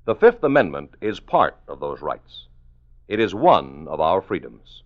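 A man giving formal scripted narration for a 1950s radio police drama, measured and even. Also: sits low. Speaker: announcer and narrator. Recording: muffled 1950s radio broadcast.

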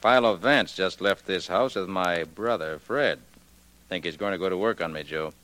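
Cocky, low-voiced radio-drama crook. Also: male voice, normal speed, sharp tone, poor audio. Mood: conspiratorial. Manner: tough, taunting, slangy. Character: cocky, needling male crook posing as long-lost brother. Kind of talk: 1940s radio drama acting.

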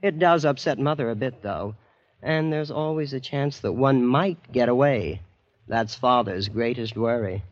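An actor playing a blasé, boastful young American heir in a vintage radio drama, nonchalant and smug. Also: male voice, mid-range voice, unhurried, no echo, lightly acted. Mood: smug, casual. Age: young adult. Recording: muffled vintage radio recording.

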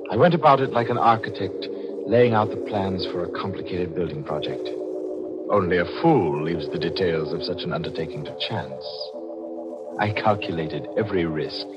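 An actor playing a cold, calculating newspaperman turned murderer, deliberate and clipped in a 1940s radio thriller. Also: sits low, animated, over loud music. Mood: cold, methodical. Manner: deliberate, clipped, menacing narration and dialogue. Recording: muffled vintage radio broadcast.

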